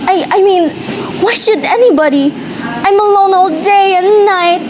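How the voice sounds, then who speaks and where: Radio play role: actress as imperious, nervy invalid wife, extremely high voice, dramatic complaining, slightly hissy roomy sound. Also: female voice, unhurried. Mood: anxious, flustered. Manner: fretful, indignant, dramatic complaining. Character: neurotic, imperious bedridden wife, frightened and demanding. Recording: roomy, with background hiss.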